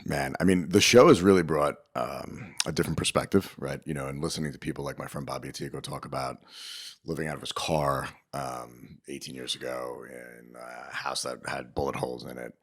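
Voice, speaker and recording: Very deep-voiced man in casual interview. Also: steady tone, fairly quick. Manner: emphatic, frequent rhetorical check-ins, mild swearing. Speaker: interviewed business owner and show host. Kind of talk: casual interview, opinionated advice.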